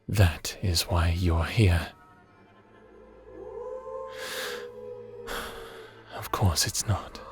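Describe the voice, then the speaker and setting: A man playing a gentle, fatherly creator god with a hidden weariness in a scripted audio roleplay, soft-spoken and deliberate, sometimes slipping into a whisper. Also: low voice. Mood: sorrowful, pleading. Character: gentle fatherly creator god, tender mentor, secretly haunted and weary.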